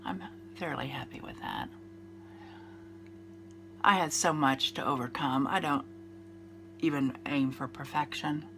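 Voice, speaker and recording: A woman's voice: rumbling low, big pitch swings, unhurried, creaky, long pauses, background noise. A woman in her fifties with a nasal, congested voice, chatting through a makeup routine in a rambling, casual way. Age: 50s. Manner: chatty, rambling, with fillers and restarts. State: congested from a cold. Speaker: beauty vlogger. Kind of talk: casual makeup tutorial chat.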